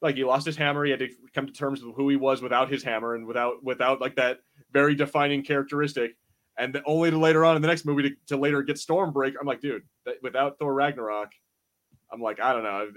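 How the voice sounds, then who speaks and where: A man co-hosting a podcast in casual review banter, telling things in slangy, animated fashion and acting out quotes. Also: medium pitch, fast talker. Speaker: podcast co-host.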